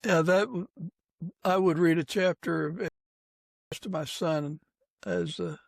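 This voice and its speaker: A man with a creaky, slightly high voice hosts a casual interview podcast. He talks in a halting way, stopping partway through sentences, and the sound is close and dry. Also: no echo. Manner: halting, pausing mid-sentence. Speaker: podcast host.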